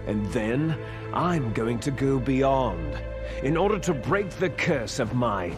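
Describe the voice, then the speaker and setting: An actor playing a menacing, proud, fatalistic villain soldier who lectures with theatrical contempt in a video game cutscene. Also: male voice, medium pitch, sing-song, takes it slowly, over music. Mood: resolute, menacing.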